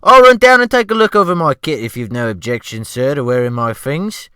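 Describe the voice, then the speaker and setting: Man playing an oily ship's cook, raised pitch. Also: animated, brisk, sharp tone, clear, resonant tone, dry recording, clean studio sound. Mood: eager, servile. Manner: ingratiating, smirking. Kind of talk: dramatic audiobook character voice.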